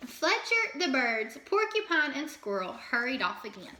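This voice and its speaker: Female storybook reader: high, bright, expressive, dramatic, recorded in an echoey room. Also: a little slow. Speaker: teacher reading a storybook aloud. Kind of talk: expressive children's read-aloud.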